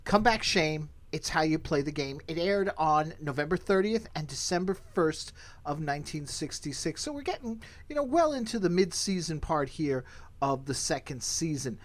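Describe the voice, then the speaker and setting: A man's voice: on the high side, expressive, normal speed. A man hosting a podcast, talking casually as he recaps and discusses. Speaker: podcast host.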